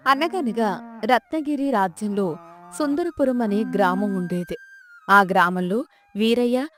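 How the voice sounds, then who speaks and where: A woman narrating a scripted folk tale as a storyteller. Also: mid-range voice. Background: soft music.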